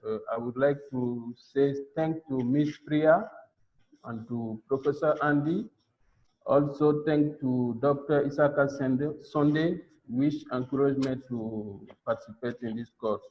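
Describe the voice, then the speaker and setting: A man's voice: medium pitch, steady tone, unhurried. Man; hesitant graduation thanks over dull, lo-fi sound. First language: French. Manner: hesitant, with frequent fillers. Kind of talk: graduation thank-you speech. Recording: dull lo-fi audio.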